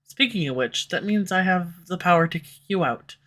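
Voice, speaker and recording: A woman voicing a sharp-tongued, tsundere female villain who swears and speaks sarcastically, recorded close on a studio condenser mic. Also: lowish voice, lively intonation, moderate pace. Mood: dismissive. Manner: sarcastic, swears, turns confessional. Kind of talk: audio roleplay. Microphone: studio USB condenser mic.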